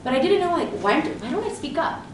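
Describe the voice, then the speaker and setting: A woman who grew up speaking Romanian first gives a lively conference talk as a leadership coach, telling stories and acting out her own inner thoughts. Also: extremely high pitch, fast talker. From grew up in Southern California. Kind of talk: live conference presentation. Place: event room with an audience. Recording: very echoey room, voice far from mic, background noise.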